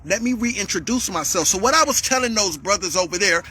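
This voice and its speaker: Animated high-voiced man ranting. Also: a little fast, slight background noise, rough recording. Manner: animated, repetitive, swings to shouting. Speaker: vlogger calling out a rival. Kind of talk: heated monologue.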